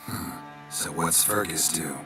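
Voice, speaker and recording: An actor playing a laconic, world-weary monster hunter in a fantasy game, terse and deadpan in delivery. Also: male voice, sits low, slow. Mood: dry. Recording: game dialogue with music behind.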